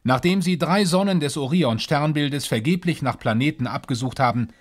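A man's voice: a little high, normal speed, lightly acted. A man as the composed narrator of a children's sci-fi radio drama, reading scripted storytelling.

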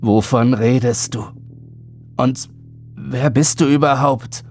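Terrified adult passenger, acted by a male narrator, pleading, agitated, voice pitched up, horror audiobook. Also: fairly even intonation, slow, darkish tone, faint music behind. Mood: fearful, demanding. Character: frightened, desperate adult bus passenger. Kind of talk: horror audiobook character dialogue.

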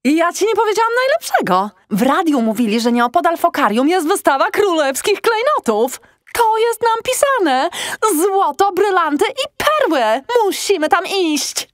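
A woman playing an excitable, mischievous young female seal, chatty and dramatic, in an audiobook. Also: very high-pitched, normal speed, no echo, clean studio sound. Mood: excited. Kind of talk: audiobook character acting.

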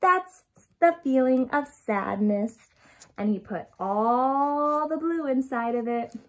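A woman in her thirties reading a picture book aloud to young children in an expressive, dramatic way, stressing key words and addressing her listeners directly. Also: high-pitched, rough recording. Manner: dramatic gasps and emphatic, drawn-out key words. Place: small furnished room at home, indoors.

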